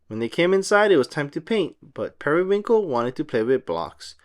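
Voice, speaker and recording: Children's read-aloud narrator: expressive storyteller, very lively intonation. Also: mid-range voice, moderate pace. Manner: expressive, sing-song storytelling. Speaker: storybook reader for young children. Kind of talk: animated read-aloud of a children's picture book.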